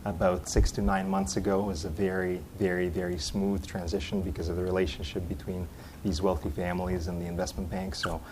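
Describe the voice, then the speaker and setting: A man introducing himself on a finance panel, flat and businesslike with many pauses. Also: sits low, background noise. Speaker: panelist, private equity investor. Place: conference hall.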